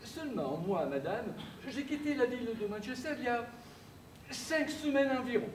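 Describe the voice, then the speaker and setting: A man acts out a starchy, overpolite gentleman who makes ceremony of every phrase. His pitch rises and dips in lively swings. He is heard from across an echoey theatre, with a faint hum behind him. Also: normal speed. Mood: mannered politeness. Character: stiff, overly formal English gentleman. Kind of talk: absurdist stage acting. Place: theatre stage, seated in armchair. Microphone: far room mic. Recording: echoey stage from far off.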